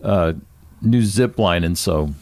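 A man hosts a church podcast in a friendly, encouraging way. His voice sits a little low, and the sound is close and dry with no room around it. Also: takes it slowly, a little mellow, no echo. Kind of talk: casual podcast interview.

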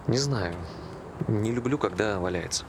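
A man's voice: on the low side, lively intonation, moderate pace, background noise. An actor playing a reserved, considerate ordinary man with understated, brief replies in a naturalistic stage drama. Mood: subdued concern.

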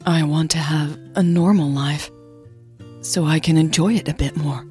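A woman voicing a tender, nervous woman in love in a romantic audio drama, halting and earnest with a shaky, breathy voice. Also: low-pitched, fairly even intonation, mellow, faint music behind, close to an everyday voice.

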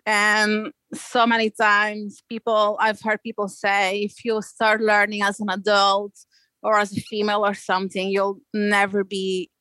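A woman with a Belgian accent speaks as a guest in a relaxed interview. Her talk is informal and full of surf slang, told in a conversational storytelling way. The sound is dry, and her pitch stays within a fairly narrow range. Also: measured pace, no room sound. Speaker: podcast guest, amateur big wave surfer.

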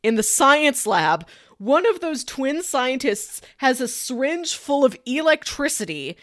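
A woman's voice: high-pitched, very animated, measured pace, no room sound. Woman chatting casually, close dry sound. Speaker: film podcast co-host. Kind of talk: casual movie discussion.

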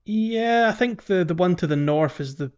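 A man hosting a casual gaming podcast, talking in a halting way and repeating words as he thinks aloud. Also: high voice, animated, a crowd in the background. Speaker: podcast host. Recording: slightly muffled audio. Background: faint music.

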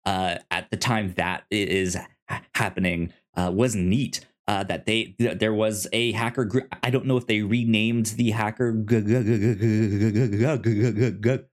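A man co-hosting a podcast in casual review chat, halting and often stammering over repeated words. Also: lowish voice, steady tone. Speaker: podcast co-host.

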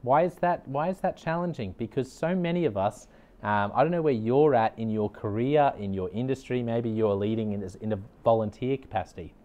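A man's voice: mid-range voice, fairly quick, mellow. A middle-aged Australian man hosting a leadership podcast, speaking earnestly and upbeat, and repeating key points for emphasis. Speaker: leadership consultant and podcast host. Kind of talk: direct-to-camera motivational talk.

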